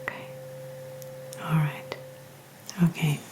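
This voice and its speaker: A woman speaking in a breathy whisper, gently reassuring and encouraging, as an examiner in an ASMR medical role-play. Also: slow pace, poor audio. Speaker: ASMR performer role-playing a hearing examiner.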